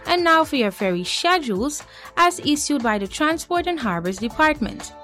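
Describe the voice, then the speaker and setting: High-voiced woman reading schedules. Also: big pitch swings, a bit bright. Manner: measured, formal reading. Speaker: radio announcer. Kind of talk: scripted schedule announcement. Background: faint music bed.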